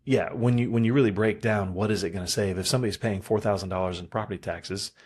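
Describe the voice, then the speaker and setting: A man's voice: fairly low pitch, fairly even intonation, quick pace, darkish tone, breathy edge. A man, a guest on a local podcast, speaking earnestly and repeating words as he thinks. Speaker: chamber of commerce chairman, guest. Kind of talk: casual interview chat.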